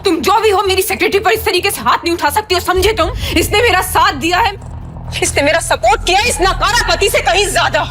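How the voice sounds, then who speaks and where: A woman's voice: very high-pitched, brisk, a bit bright, slight background noise. A woman playing a proud, indignant businesswoman and defiant wife in an audio drama, confrontational and emphatic. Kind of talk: Hindi audio drama acting.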